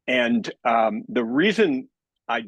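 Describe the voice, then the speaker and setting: A man's voice: mid-range voice, expressive, slow, no echo. A man who runs a company, talking at length as the expert on a webinar and often repeating words as he starts a phrase. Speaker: company director and guest expert. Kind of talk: webinar explanation.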